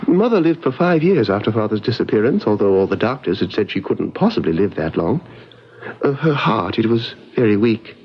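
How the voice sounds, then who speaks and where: A man playing a troubled, fragile young gentleman of twenty, earnest and hesitant, in old-time radio drama style. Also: fairly low pitch, sing-song, a little fast, slight background noise. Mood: earnest, melancholy. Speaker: actor in a radio mystery drama. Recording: muffled vintage radio recording with music.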